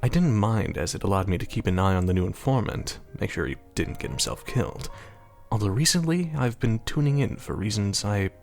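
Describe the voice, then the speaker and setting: A man playing a weary, irritable mayor who narrates an audio drama with deadpan, grumbling sarcasm. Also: on the low side, brisk, creaky, faint music behind. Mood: weary, dry. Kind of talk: first-person scripted narration with dialogue, audio drama.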